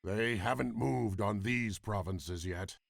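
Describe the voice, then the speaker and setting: A man playing a gruff, blunt, battle-eager warrior lieutenant in a video game cutscene. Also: fairly low pitch, slow, dry recording, clean studio sound, heavily acted. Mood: suspicious, advising.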